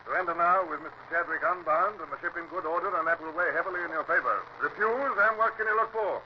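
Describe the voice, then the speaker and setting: Actor as stern British naval commodore, dull old recording. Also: male voice, fairly high pitch, fast, noisy background, natural voice. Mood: grave, insistent. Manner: formal, clipped, authoritative. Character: stern, commanding British naval commodore, narrating his own tale. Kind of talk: radio drama acting.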